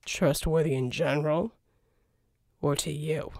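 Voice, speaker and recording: A gruff male mercenary from an audiobook, played by a woman. The voice sits low and subdued, slow and muttering. It is deadpan, sardonic and thoroughly unimpressed. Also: dry recording, studio quality. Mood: resentful, deadpan. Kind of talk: audiobook character dialogue.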